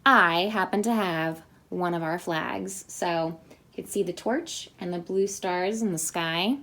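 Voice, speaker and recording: Young woman reading an alphabet book to children, unhurried, friendly, chatty asides. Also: fairly low pitch, fairly bright tone. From Indiana. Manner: slow, cheerful storytime reading with chatty asides. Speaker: teacher reading aloud.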